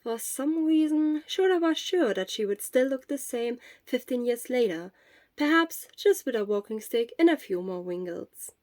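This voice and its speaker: A woman's voice: a little high, expressive, moderate pace, a little mellow, no echo. A woman reading scripted fiction expressively as a story narrator.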